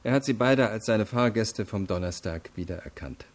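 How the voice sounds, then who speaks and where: A male actor as a deputy prosecutor in a radio crime drama. His voice sits low. He lays out evidence deliberately, firm and matter-of-fact, never giving ground. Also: close to an everyday voice. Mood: firm, methodical. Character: methodical, unyielding male deputy prosecutor interrogating.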